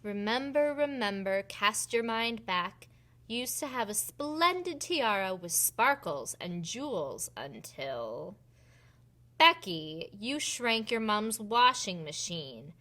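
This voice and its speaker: A woman voicing a kind but firm head fairy in a picture book, deliberate and gently scolding. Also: medium pitch, big pitch swings, full character voice. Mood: gently chiding. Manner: deliberate, repetitive, chiding.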